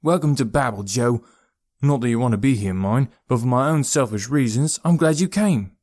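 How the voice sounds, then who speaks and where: A man's voice: medium pitch, lively intonation, moderate pace, darkish tone, no echo, close to an everyday voice. A man voicing a mischievous, chatty young boy in an audiobook, with a teasing, breezy, wry manner. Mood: friendly. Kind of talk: audiobook character voice.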